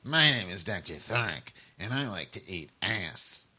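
A man playing a lewd, unhinged split personality in a dark comedy sketch, with florid, drawn-out theatrical delivery. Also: sits low, sing-song, breathy edge, heavily acted. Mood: lewd insistence.

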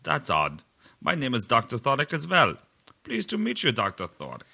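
Man as a coaxing, creaky-voiced hypnotist doctor. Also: medium pitch, huge pitch swings, pushed and bright, heavily acted. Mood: polite insistence. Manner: slow, soothing, formal coaxing. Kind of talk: dark comedy sketch acting.

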